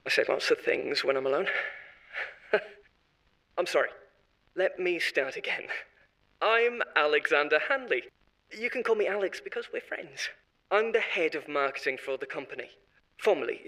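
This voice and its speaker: An actor playing an unsettling head of marketing in a horror audio drama, rambling and confiding with odd cheer. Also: male voice, high-pitched, pushed and bright, exaggerated voice, poor audio. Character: eerie corporate marketing head, adult man, unsettling.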